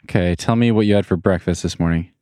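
A man hosting a podcast, relaxed and friendly, chatting casually with his guest. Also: low voice, fast, no room sound, clean studio sound. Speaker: podcast host. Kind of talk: casual interview chat.

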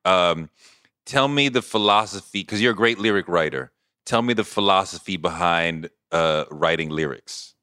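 Deep, crisp male podcast host. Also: moderate pace, sharp tone, no room sound, studio quality. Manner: thoughtful, probing questions. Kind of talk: casual interview.

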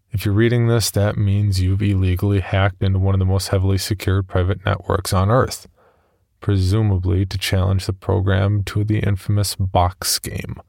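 A man's voice: deep voice, creaky, a little mellow, no echo, clean studio sound. A man playing a grave, sardonic computer scientist in a horror audio drama, formal and emphatic. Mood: formal, ominous.

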